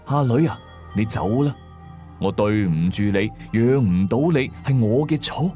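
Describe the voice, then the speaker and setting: A man's voice: fairly low pitch, lively intonation, unhurried, mellow, soft background music. A man voicing a weary, helpless father pleading in a downcast way in an audio ghost drama. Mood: tearful pleading. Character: weary, helpless father pleading with his dead baby daughter.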